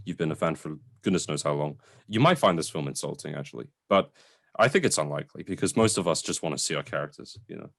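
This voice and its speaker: A man's voice: very deep voice, fast, creaky, fairly bright tone. A man co-hosting a casual, conversational film review, informal and sometimes sweary as he qualifies his opinions.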